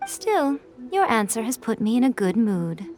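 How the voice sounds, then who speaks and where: A woman's voice: a little high, very animated, darkish tone. A woman voicing a sly shrine priestess who teases her visitors in an amused way. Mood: teasing. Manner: slow, amused, teasing. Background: game music.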